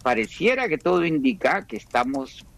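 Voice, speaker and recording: A man from Peru, speaking as an economist guest on a radio interview. He explains at a measured, careful pace, often restarting his sentences, and his voice sits in a middle pitch. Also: sing-song, vocal fry.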